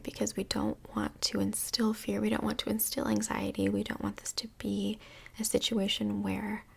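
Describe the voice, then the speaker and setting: A woman playing a calm, chatty couples counsellor in a soft-spoken ASMR role play, with a breathy, whispery voice and gentle, measured, reflective delivery. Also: medium pitch, full character voice. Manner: gentle, measured therapist talk with reflective pauses.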